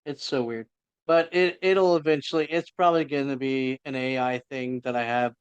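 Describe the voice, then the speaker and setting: A man's voice: medium pitch, fairly even intonation, normal speed, smooth, dry recording. A middle-aged man, an indie author, chatting casually on a podcast in a rambling, self-interrupting way.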